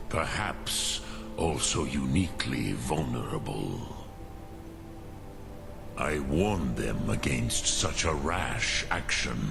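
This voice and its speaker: A man playing an ancient, wise male dragon in a fantasy video game, speaking in a solemn, measured, contemplative manner. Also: very deep voice, gravelly, noisy background, heavily acted. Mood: solemn, reflective. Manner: measured, solemn, philosophical, weighing each phrase. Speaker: mentor, master of an order. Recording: game music behind the voice.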